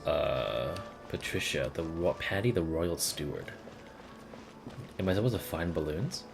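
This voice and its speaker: A man with a low, gravelly voice streams a game playthrough in a casual, sardonic way, swearing freely. His pitch moves around a lot, and music plays behind him. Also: lively intonation, unhurried. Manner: profane, sardonic. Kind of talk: casual live playthrough commentary, reading dialogue aloud.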